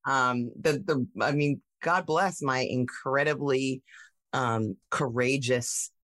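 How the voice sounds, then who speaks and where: A woman tech founder telling her story in a casual interview, animated, with many fillers and restarts. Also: a bass voice, takes it slowly, dry recording. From United States.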